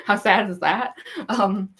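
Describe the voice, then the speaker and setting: Woman, young adult, gruff raspy voice, goofy laugh, rambling casual stream chat, mid-range pitch. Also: sing-song, slow, fairly bright tone. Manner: chatty, rambling, lots of fillers and frequent laughs. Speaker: cosplay livestreamer. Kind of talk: casual livestream chat with viewers.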